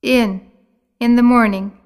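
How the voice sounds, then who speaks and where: A woman narrates a language course in a fairly high voice. She reads scripted material and vocabulary with careful, clear enunciation. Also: steady tone, slow. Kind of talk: scripted lesson reading, word drills.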